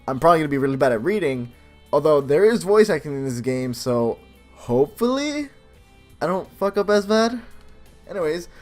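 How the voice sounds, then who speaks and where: A man's voice: on the high side, sing-song, moderate pace, a little mellow. A young man hosting a let's play, commenting casually in a rambling, self-deprecating way. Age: young adult. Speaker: gaming video host. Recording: faint game music behind voice. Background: game music.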